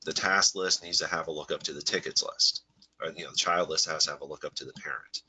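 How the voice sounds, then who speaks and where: A man with a low voice and a sharp, forceful edge talks through a live software webinar. He has a head cold that makes him a little nasal, and he explains in a loose, rambling way with plenty of "uh"s and restarts. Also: fast talker, husky, low-quality recording. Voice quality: slightly nasal. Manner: relaxed, rambling, filler-heavy explaining. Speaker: software workshop presenter. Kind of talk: live webinar walkthrough with screen share.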